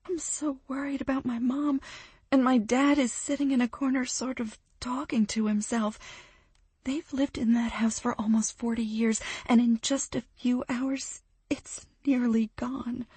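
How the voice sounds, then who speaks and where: A woman voicing a frightened young woman trapped by a flood, her voice high, tearful and breaking into whispers. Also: little pitch movement, unhurried, a little mellow, husky, no room sound. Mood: shaky.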